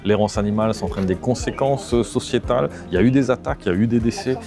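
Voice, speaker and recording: Low-voiced man giving a press statement. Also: quick pace, gravelly, over music. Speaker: local official.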